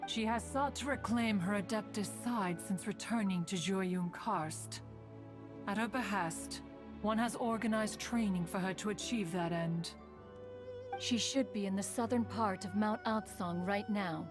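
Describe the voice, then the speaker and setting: A woman's voice: mid-range voice, measured pace, close to an everyday voice. Cloud Retainer, a woman voicing a lofty crane spirit. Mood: matter-of-fact. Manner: formal, refers to herself as "one". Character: lofty ancient crane spirit, female, aloof and formal. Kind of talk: game voice acting. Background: music.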